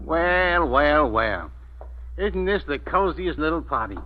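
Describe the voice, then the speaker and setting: A man's voice: on the high side, lively intonation, slow, faint music behind. An actor playing a blunt, slangy, tough police inspector who wisecracks his way through a 1940s-style radio mystery. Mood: sarcastic, tough. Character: gruff, wisecracking, slow-on-the-uptake police inspector. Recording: muffled, noisy vintage radio recording.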